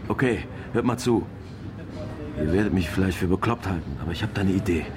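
An actor playing a stubborn, down-to-earth middle-aged factory worker in a naturalistic radio drama. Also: male voice, low voice, a little fast, crowd noise, lightly acted. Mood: earnest, determined.

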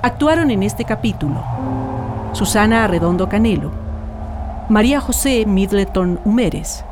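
A woman's voice: medium pitch, animated, unhurried. A woman with a Chilean accent reading formal scripted narration as the narrator of a radio documentary drama. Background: music.

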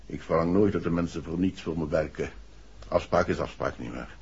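An actor playing a grave, resolute bereaved father, a formal and courteous gentleman, in a 1960s Dutch radio play. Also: sits low, brisk, slightly rough, exaggerated voice. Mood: stiffly courteous. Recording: dull, muffled old radio recording.

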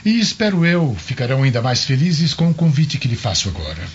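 Suave Roman governor with swooping pitch, Brazilian. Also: male voice, wildly swooping pitch, fairly quick. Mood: insistent. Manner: florid, ceremonious, theatrical. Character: suave, insistent Roman governor, grandly hospitable. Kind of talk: dramatised Brazilian radio drama acting. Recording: dull, noisy lo-fi radio drama.

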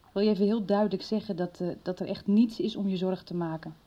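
A slightly muffled radio soap recording carries a mid-pitched woman played by an actress. She is a frank confidante who talks with concern, in dramatic dialogue from a 1990s broadcast. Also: fairly even intonation, fast, dark tone, lightly acted. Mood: friendly, reassuring. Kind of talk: Dutch radio soap acting.

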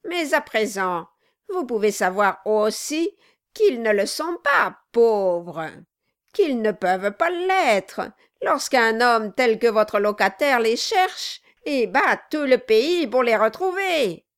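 A woman voicing a malicious male dwarf in an audiobook, loud, commanding and mocking. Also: on the high side, big pitch swings, takes it slowly. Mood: irritated sneering. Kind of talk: dramatized audiobook reading.

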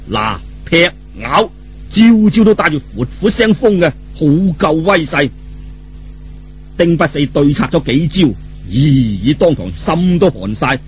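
A man's voice: on the high side, slight background noise. A man telling a story in colloquial Cantonese, theatrical and dramatic, with vivid exclamations.

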